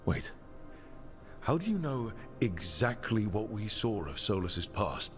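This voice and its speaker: Low-voiced, earnest adventurer. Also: male voice, very animated, takes it slowly, faint music behind. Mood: questioning. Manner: plain-spoken, probing. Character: earnest, questioning male adventurer, leader of a band. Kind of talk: fantasy game dialogue.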